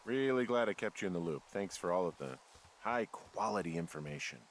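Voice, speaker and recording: A man's voice: low voice, expressive, fairly quick, slight background noise. A man playing a laid-back, middle-aged American fire lookout in a naturalistic video game, dry, understated and a little hesitant. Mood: deadpan.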